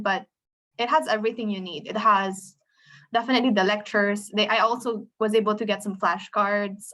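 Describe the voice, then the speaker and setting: A woman's voice: medium pitch, fairly bright tone, low-quality recording. A woman in her twenties speaking as a panelist, giving informal, conversational answers and correcting herself as she goes. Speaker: panelist, recent accounting graduate.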